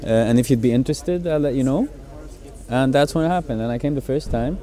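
A man's voice: mid-range voice, fairly quick, dark tone. A man in his thirties from Egypt telling a story hesitantly, with many restarts, in a casual interview. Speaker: car enthusiast.

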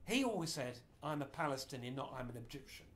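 Middle-aged man, informal lecturer, animated delivery, mid-range pitch, small room. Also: fast talker, slight background noise. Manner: animated, gesturing broadly while explaining. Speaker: speaker giving a history talk. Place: small indoor meeting room.

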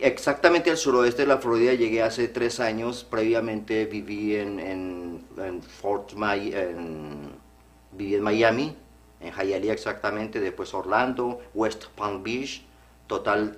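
Earnest middle-aged man from Colombia, low voice. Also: measured pace. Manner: earnest, gestures, restarts phrases. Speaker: program guest. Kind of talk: studio interview. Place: TV studio set.

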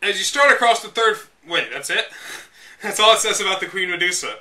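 Bright, high-pitched man, sarcastic read-aloud host. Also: animated, a little fast, far from the mic. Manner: sarcastic, exasperated. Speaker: host reading a game guide aloud with commentary. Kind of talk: dramatic read-aloud with casual mocking asides.

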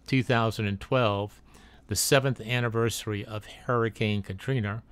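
A man with a somewhat low voice reads a factual voice-over in a plain, steady way. He stumbles and restarts now and then. The recording is close and dry, with no room sound. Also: clear, resonant tone, no echo. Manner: reads a factual text, with small slips and restarts. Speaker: narrator of a drone video. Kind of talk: read-aloud documentary narration.

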